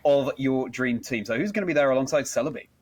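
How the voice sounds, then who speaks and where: A man's voice: medium pitch, fast talker, darkish tone, slightly rough. A man hosting a conversational interview, attentive and encouraging as he reacts and asks follow-up questions. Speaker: podcast host, interviewer.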